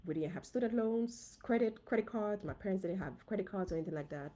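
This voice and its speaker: A woman from Haiti with a Haitian accent, a dentist giving an animated, casual livestream talk to her viewers on a phone. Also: medium pitch, fast. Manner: animated, talks straight to viewers. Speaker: dentist and finance coach.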